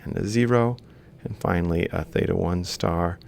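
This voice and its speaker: A man lecturing in a deep voice heavy with vocal fry. He explains things methodically, one step at a time, as if thinking aloud while he writes. There is some rumbly noise behind him. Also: moderate pace, darkish tone. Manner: explanatory, step by step, reciting values piecemeal. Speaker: university lecturer. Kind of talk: instructional screen-capture lecture, thinking aloud while writing.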